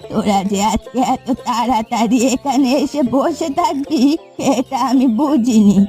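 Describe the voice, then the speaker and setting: High-pitched bossy old village granny played by a woman. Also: unhurried, dark tone, soft background music, close to an everyday voice. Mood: teasing. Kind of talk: Bengali cartoon fairy-tale voice acting.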